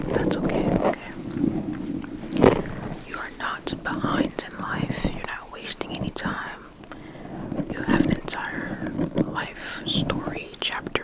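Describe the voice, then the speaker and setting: An ASMR roleplay voice that stays in a soft, breathy whisper with almost no voicing. The delivery is slow and soothing, like personal attention given close to the microphone. Words and short phrases get softly repeated in a reassuring way. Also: rough recording. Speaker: ASMR performer.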